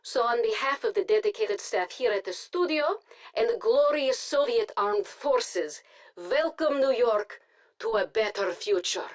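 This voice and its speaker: An actress playing a composed, authoritative Soviet propaganda news anchor, reading formally in a video game broadcast. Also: female voice, a little high, lively intonation. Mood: official, firm. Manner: formal, measured delivery.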